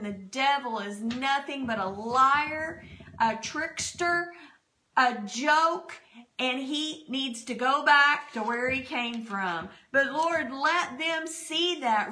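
Woman pastor, middle-aged, slow and bright, fervent prayer at a home desk mic. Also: high-pitched. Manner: fervent, prayerful, citing scripture verses. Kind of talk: spoken prayer and testimony on a church broadcast. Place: home dining room. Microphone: desk podcast microphone.

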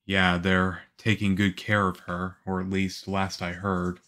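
A man playing a calm, reassuring young government agent in an ASMR roleplay, speaking softly. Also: sits low. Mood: reflective. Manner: soft-spoken, unhurried, reassuring.

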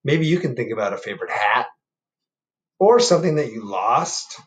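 A man's voice: mid-range voice, lively intonation, normal speed. A man in his fifties doing a picture-book read-aloud, with casual asides and questions to the children listening. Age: 50s. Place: book-lined room at home.

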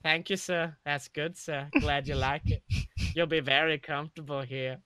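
A croaky, scaly fish-man, put on by a male reader, odd and needy in a creepy way. It is storybook character work, recorded close and dry. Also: on the high side, fairly even intonation, moderate pace, bright, forceful tone, no echo. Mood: eager, pleased.

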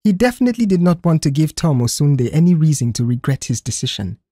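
A man reading scripted story narration in an expressive, careful storytelling manner. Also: a little high, quick pace, no room sound, studio quality. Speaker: audiobook narrator.

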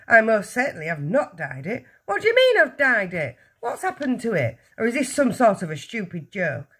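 A blustering middle-aged dad from a storybook, played by a woman reader, indignant and panicky. He yells and wails in exclamations, pitched high with wide swings. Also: wildly swooping pitch, moderate pace, husky, exaggerated voice. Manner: yelling, wailing, exclamatory. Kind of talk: children's storybook reading.

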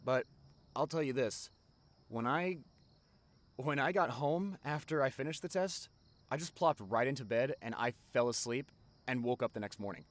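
Guy acting as a caring young college best friend, mid-pitched male voice, slangy n casual, asmr roleplay talking right to you. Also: lively intonation, normal speed, lightly acted. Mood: upbeat, joking. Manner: casual, slangy, addresses listener directly. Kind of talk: ASMR roleplay monologue to the listener.

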